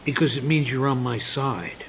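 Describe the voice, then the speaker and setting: An actor playing a stubborn, wounded, middle-aged joking dad in a naturalistic comedic radio play, plaintive and insistent. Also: male voice, mid-range voice, moderate pace, a bit bright, a little hiss or hum, close to an everyday voice.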